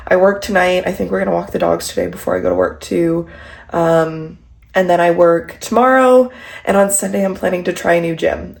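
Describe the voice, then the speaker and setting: A young woman recording a casual vlog at home, her voice stuffy and congested from a cold. She speaks low for a woman, with a gravelly fry running through her chatty, filler-heavy talk. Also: a little mellow. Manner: chatty, rambling, frequent "like" and "um". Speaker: vlogger and pilates instructor.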